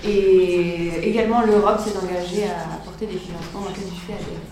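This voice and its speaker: A woman's voice: fairly low pitch, steady tone, fairly quick, warm tone, close to an everyday voice. A woman playing an official prefect in a very echoey room. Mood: formal. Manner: formal, official, hesitant. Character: formal female prefect. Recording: very echoey room, faint noise.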